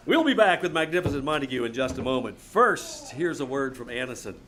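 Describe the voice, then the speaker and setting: A man acting an earnest 1950s radio announcer and pitchman, delivering scripted copy. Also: sing-song, a little fast, noisy background, close to an everyday voice. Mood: earnest selling.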